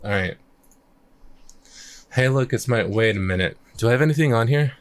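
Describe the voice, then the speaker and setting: A man chatting casually on a live stream, thinking out loud as he works on his music, his voice a little croaky. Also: medium pitch, animated, moderate pace. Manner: rambling, thinks aloud while working. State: slightly croaky voice. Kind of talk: casual livestream chat.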